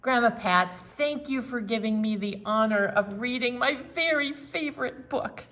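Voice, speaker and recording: A woman presenting a children's storytime, explaining things simply and in an animated way, with sing-along songs. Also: a little high, normal speed. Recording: roomy, distant mic, some background noise.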